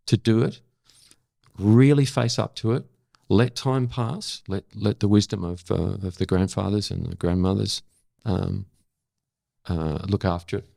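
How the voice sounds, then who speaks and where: An Australian man around seventy with a low, gravelly voice speaks as a reflective interview guest. He is thoughtful and halting, circling back to repeat key words for emphasis. The sound is close and dry. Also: fairly even intonation, dark tone, dry recording. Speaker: interview guest, former journalist and actor.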